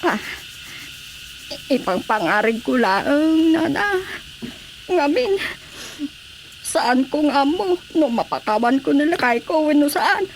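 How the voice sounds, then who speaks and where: A woman acting a distraught, high-voiced wronged wife. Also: lively intonation, takes it slowly, long pauses, exaggerated voice, poor audio. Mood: troubled pleading. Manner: plaintive, pleading. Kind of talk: Ilocano radio drama acting. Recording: faint background noise.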